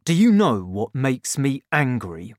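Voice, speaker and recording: A father with a lowered, hushed voice, confiding and scheming, as a man reads him aloud. The lines come slowly, with lively shifts in pitch. The sound is dry and close. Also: no room sound. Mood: resentful. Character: warm, scheming father, quietly resentful. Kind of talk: audiobook character voice.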